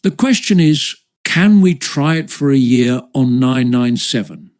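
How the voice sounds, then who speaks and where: A man's voice: medium pitch, lively intonation, unhurried, a little mellow, close to an everyday voice. Man acting a fussy, calculating father. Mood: flustered counting. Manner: muttering sums aloud, breaking off to scold. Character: fussy, calculating father, anxious and easily interrupted.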